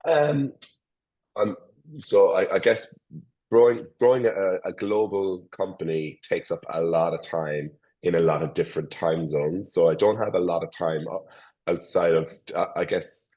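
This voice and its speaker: A deep-voiced Irish man in his forties talks as a guest on a remote call. He keeps restarting his phrases and filling pauses as he goes. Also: warm tone, slightly rough. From Ireland. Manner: hesitant, many restarts and fillers. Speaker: guest, tech company founder. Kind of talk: casual interview over a call.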